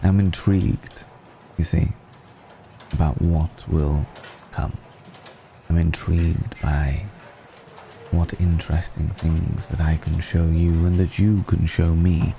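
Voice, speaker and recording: An extremely deep, dark-toned vampire gentleman played by a male actor murmurs intimately in an ASMR audio roleplay. He is slow, suave and secretive, choosing his words with courteous, teasing pauses over a faintly noisy background. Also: level tone, full character voice. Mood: intrigued, coaxing. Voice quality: low, gravelly murmur. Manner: measured, hesitant pauses, genteel and teasing.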